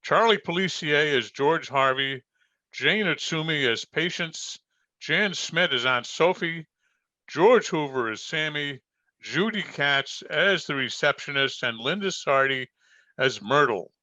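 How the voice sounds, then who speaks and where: A male announcer reads scripted radio credits in a bright, slightly high voice. The recording is dry and a bit dull. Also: animated, measured pace, dry recording.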